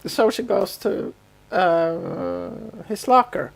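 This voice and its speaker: A woman's voice: medium pitch, takes it slowly, gravelly. A woman co-hosting a podcast in a casual recap chat, telling the story and acting out quotes as she goes. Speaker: podcast co-host.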